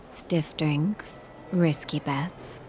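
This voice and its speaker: Bold game seductress, lowish mellow voice, slow, teasing, languid, faint music. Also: female voice, fairly even intonation, slight background noise. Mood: flirtatious. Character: sultry, flirtatious female bar gambler, languid and bold. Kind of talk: video game cutscene acting.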